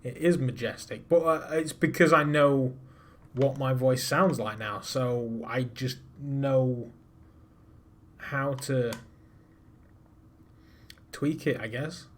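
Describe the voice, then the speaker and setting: Guy streaming a game, chill banter, jokes at his own expense, mid-pitched. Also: takes it slowly, pauses often. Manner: casual, joking, self-deprecating banter; chats to viewers while piloting. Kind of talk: live gameplay commentary.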